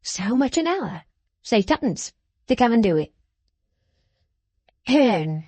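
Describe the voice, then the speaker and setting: A fawning, self-satisfied old man who bows and scrapes with every sentence, as a woman puts him on. His voice is dry and close, and it dips low when he adds a deferential word. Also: very animated, takes it slowly, warm tone, dry recording, heavily acted. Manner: fawning and deferential, clipped "sir" endings. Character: obsequious, self-important older man with a wooden leg.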